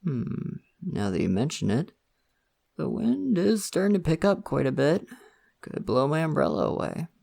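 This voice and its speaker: Man acting as shy college crush, creaky, lively pitch. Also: normal speed, heavily acted. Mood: flirty, coy. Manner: hesitant, rambling, chuckling self-consciously. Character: shy, friendly, awkwardly flirty male college crush. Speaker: ASMR roleplay performer. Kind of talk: intimate ASMR roleplay, casual conversational acting.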